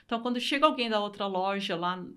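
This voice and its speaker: A woman's voice: mid-range voice, fast talker, a bit bright, clean tone. A Brazilian woman speaking Portuguese with a Brazilian accent, a guest telling her story in a casual interview, earnest and repeating phrases for emphasis.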